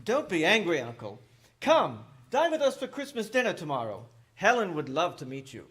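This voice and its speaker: An actor voicing a good-natured, earnest young nephew in a theatrical radio play. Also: male voice, high-pitched, huge pitch swings, moderate pace, fairly bright tone, heavily acted. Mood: pleading. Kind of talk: theatrical radio drama acting.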